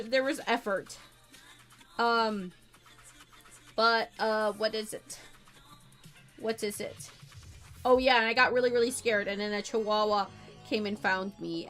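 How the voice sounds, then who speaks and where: A woman's voice: medium pitch, bright, forceful tone. A woman livestreaming while she draws, chatting casually with viewers in a rambling, bubbly way, often repeating herself and giggling. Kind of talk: casual chat with stream viewers while drawing.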